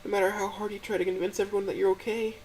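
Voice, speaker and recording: A man voicing a gentle young female dinosaur who stammers and quivers as she pleads tearfully. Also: high-pitched, little pitch movement, very fast, a little hiss or hum, pitched above the performer's own voice. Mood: tearful pleading.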